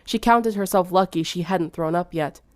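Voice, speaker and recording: A woman's voice: fairly low pitch, moderate pace, dry recording. A woman narrating fan fiction in a dramatic storytelling style.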